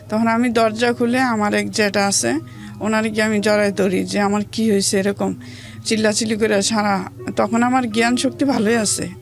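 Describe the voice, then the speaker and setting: A woman from Bangladesh with a Bangladeshi accent, telling a personal life story as an interview guest in plain, halting, colloquial speech. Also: on the high side, gravelly.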